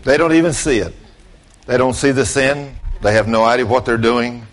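A man's voice: medium pitch, steady tone, moderate pace. A man, giving an informal storytelling sermon in a folksy, plain-spoken way, talking straight to his listeners. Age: late 60s. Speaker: preacher.

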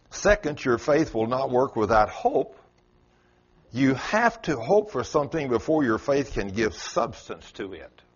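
Texan preacher with a swinging, husky voice. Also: male voice, big pitch swings, unhurried, lo-fi sound. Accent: South Texas. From South Texas. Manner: folksy, emphatic, swings pitch up for stress. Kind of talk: sermon.

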